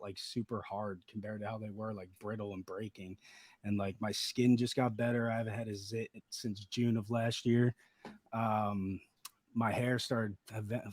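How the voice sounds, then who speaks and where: A man with a slightly raspy voice, a podcast guest rambling through a personal story in casual chat with lots of fillers. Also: on the low side, a little mellow, dry recording. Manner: rambling, laid-back storytelling with many fillers.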